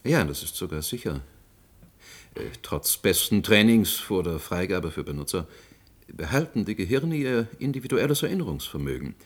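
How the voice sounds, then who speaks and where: An actor playing a careful expert witness in a German radio play, formal and explanatory with some hesitation. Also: male voice, deep voice, big pitch swings, moderate pace, breathy edge, heavily acted. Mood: uneasy, explanatory.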